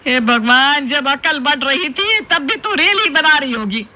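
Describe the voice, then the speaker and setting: A woman voicing a warm, doting middle-aged Indian mother-in-law who chides playfully and exclaims affectionately in a Hindi cartoon family story. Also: sits high, expressive, a little slow, voice pushed up, full character voice. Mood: exasperated.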